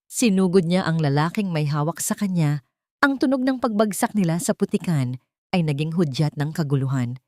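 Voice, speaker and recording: A woman narrates a scripted drama in a somewhat low voice. Her delivery is solemn and melodramatic. The sound is very dry, with no room echo. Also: lively intonation, moderate pace, darkish tone. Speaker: story narrator.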